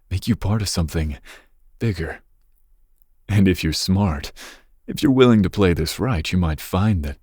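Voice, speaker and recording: Man playing a deep, husky, seductive mafia heir. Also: darkish tone, dry recording, studio quality, heavily acted. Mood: persuasive, calculating. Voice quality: breathy, husky low voice. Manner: smooth menace, slow teasing pauses. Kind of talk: ASMR roleplay, intimate second-person monologue.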